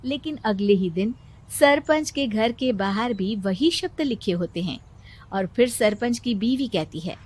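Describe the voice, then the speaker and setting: A woman's voice: medium pitch, animated, a little slow, darkish tone. A woman reading scripted narration for a moral story as the storyteller.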